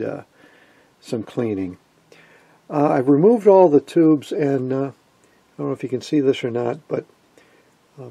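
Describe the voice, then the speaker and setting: A man explains technical repair work in a casual, halting way. His voice is mellow and husky with a gravelly creak. He sounds like a hands-on host talking through the job in plain, colloquial terms. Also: medium pitch, no room sound. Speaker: host of a vintage radio repair video.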